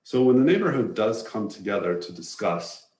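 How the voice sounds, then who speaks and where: Low-voiced man presenting in an echoey room. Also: lively intonation, normal speed, darkish tone. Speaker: presenter to neighborhood residents. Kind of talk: informational slide presentation narration. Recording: roomy echo, distant from microphone.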